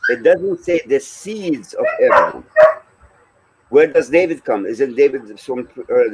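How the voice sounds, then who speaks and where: A man's voice: medium pitch, a little mellow, slight background noise, rough recording. A man speaking informally as a panelist in a religious discussion, explaining his views in plain conversational terms.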